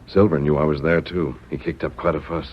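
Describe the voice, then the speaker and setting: Masked western hero, earnest and commanding, dull noisy old radio recording. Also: male voice, extremely low pitch, steady tone, fairly quick. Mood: confiding, resolute. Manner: earnest, declarative, authoritative. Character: masked cowboy hero, commanding, upright, resolute. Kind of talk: old-time western radio drama acting.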